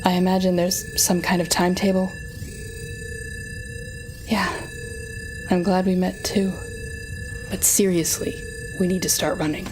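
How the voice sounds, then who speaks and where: A woman's voice: fairly low pitch, flat delivery, music in the background, close to an everyday voice. A woman playing a sardonic, telepathic supervillain in an audio roleplay, speaking straight to the listener with dramatic, wry exasperation. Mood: awkward, softened. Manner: dramatic, wry, exasperated, halting when flustered.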